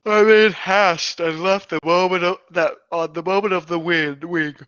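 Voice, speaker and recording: A man voicing a proud wounded griffin in a formal, halting storytelling manner, on a dull home microphone. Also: high-pitched, steady tone, a bit bright, clear, resonant tone, no echo. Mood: solemn, strained.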